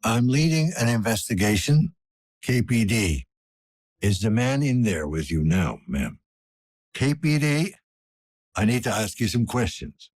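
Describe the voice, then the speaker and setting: A man playing a weary, gruff older police detective in a cyberpunk video game, speaking tersely in a low-key, world-weary way. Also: big pitch swings, a little slow, a little mellow, no room sound. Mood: stern, pressing. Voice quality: husky, gravelly.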